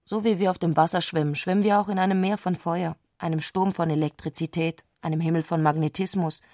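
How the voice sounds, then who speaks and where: Literary radio play: stubborn, teasing young wife, lower voice, measured and quietly defiant, very dry studio. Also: female voice, no room sound. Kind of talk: literary radio drama, narration and dialogue.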